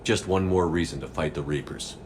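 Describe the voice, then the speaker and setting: A man's voice: low-pitched, a little fast, darkish tone, a little hiss or hum, close to an everyday voice. A man acting a steadfast military commander in a video game, firm and restrained. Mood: resolute.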